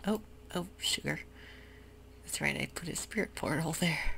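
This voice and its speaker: A woman whispers her way through a computer game in a low, gravelly voice, unhurried and full of ums. The recording carries a clear hiss, with keyboard typing close by. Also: sharp tone. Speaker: ASMR gaming creator. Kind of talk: soft-spoken ASMR gameplay commentary. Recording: noisy home recording.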